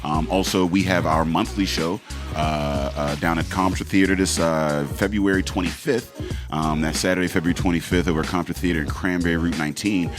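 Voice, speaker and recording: Male podcast host, deep voice, relaxed plug-reading delivery, studio mic, music bed. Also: fairly quick. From Pittsburgh, USA. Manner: laid-back, loose, swearing, many fillers. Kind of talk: casual podcast chat, reading sponsor plugs.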